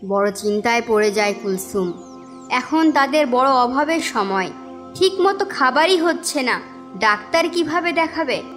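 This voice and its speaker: A woman's voice: sits high, expressive, measured pace, fairly bright tone. A woman narrator reading a scripted story for a cartoon in a storytelling style. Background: music.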